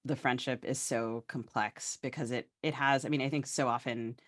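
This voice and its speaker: A man interviews a writer as a podcast co-host, with a medium-pitched voice and a very dry sound. He talks in a discursive, conversational way, building appreciatively toward his questions. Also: steady tone, moderate pace, fairly bright tone, dry recording.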